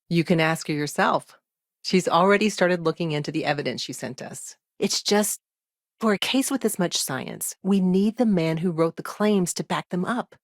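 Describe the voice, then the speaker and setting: A woman playing an earnest, overworked lawyer in a video game, speaking in a measured, matter-of-fact, conversational way with dry wit. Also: medium pitch, no room sound, close to an everyday voice. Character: earnest, overworked female frontier lawyer, dry-witted and principled. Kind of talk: naturalistic video game dialogue acting.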